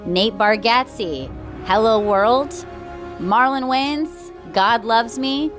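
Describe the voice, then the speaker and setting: Higher-voiced woman co-hosting a talk show. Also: extremely slow, music in the background. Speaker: co-host of a comedy talk show. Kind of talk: casual hosting banter.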